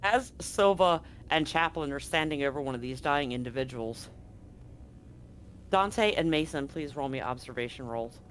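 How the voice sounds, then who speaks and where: A man's voice: medium pitch, lively intonation, normal speed, fairly bright tone, a little hiss or hum. A man running a tabletop horror game as game master, narrating loosely and correcting himself as he goes. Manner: loose, conversational, self-correcting.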